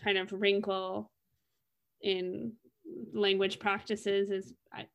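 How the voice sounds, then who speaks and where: A woman's voice: medium pitch, little pitch movement, takes it slowly, vocal fry, clear, resonant tone. The young woman you would hear co-hosting a small discussion podcast, thinking aloud in casual conversation. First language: English. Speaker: co-host in a reflective discussion.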